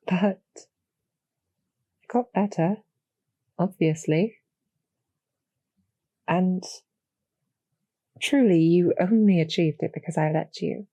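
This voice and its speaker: Female performer as demon general, audio roleplay, kind, composed, formal, unhurried delivery, soft dark tone, deliberate pauses. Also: on the low side, dry recording. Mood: wry, unbothered. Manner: measured, gently persuasive, formal, deliberate pauses. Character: kind, calm demon general, queen's loyal intermediary. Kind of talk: scripted roleplay audio drama.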